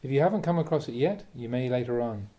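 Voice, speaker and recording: Mellow-voiced man reading a Dharma talk. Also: mid-range voice, expressive. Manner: measured, emphatic, with rhetorical questions. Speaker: Buddhist monk reading a teacher's talks aloud. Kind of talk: reading a translated Dharma talk aloud, with brief asides.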